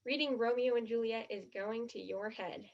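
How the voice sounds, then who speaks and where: A woman's voice: on the high side, steady tone, moderate pace, natural voice. An actress in a stage play as a blunt, skeptical, dramatic teenage girl, in a slightly muffled recording. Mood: embarrassed, skeptical.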